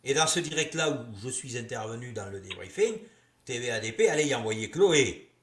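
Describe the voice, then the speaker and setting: A man with a mid-pitched voice speaks as a guest on a talk show. His delivery is earnest and insistent, like someone issuing a serious warning. His is Gascon. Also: sing-song, fairly quick. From Gascony, southwest France.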